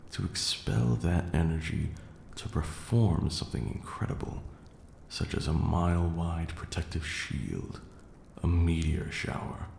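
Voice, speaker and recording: Man acting a deep, gravelly, haughty vampire lord. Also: a little mellow, exaggerated voice. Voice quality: low, gravelly. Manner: pompous, deliberate, lecturing with pauses. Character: haughty, patient male vampire lord teaching magic. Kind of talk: ASMR roleplay. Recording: roomy echo, audible background hiss.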